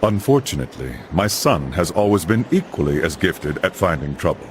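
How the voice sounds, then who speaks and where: An actor playing a solemn middle-aged knight, a father narrating his family's saga in a grave, measured storyteller's manner for a game. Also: low-pitched, sing-song, soft background music. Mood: grave storytelling. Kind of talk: dramatic scripted narration.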